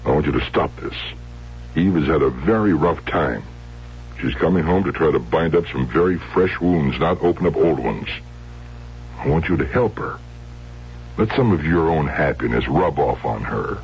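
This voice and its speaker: Casting: middle-aged American dad, very low voice, swooping inflection, paternal and placating, old radio drama. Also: male voice, animated, normal speed. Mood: stern, pleading. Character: fond, protective widowed father of two grown daughters. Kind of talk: 1970s radio drama acting. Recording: muffled, hissy old radio broadcast with hum.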